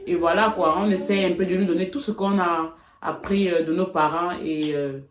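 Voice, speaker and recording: A woman's voice: fairly low pitch, fairly even intonation, a little hiss or hum. Woman in an echoey room. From Cameroon. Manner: reflective, repeats "franchement", frequent pauses. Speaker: vlogger. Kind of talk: casual couple chat.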